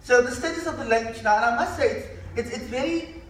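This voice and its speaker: A man's voice: very high voice, fast, fairly bright tone, a little hiss or hum. A man speaking on a discussion panel, impassioned and emphatic, often restarting his sentences. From South Africa. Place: hall at a panel event. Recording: echoey room, mic far off.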